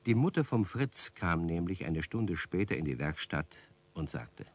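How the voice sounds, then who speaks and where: An actor playing the storyteller, narrating a scripted children's tale in a radio play. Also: male voice, sits low, very animated, normal speed, heavily acted. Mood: explanatory.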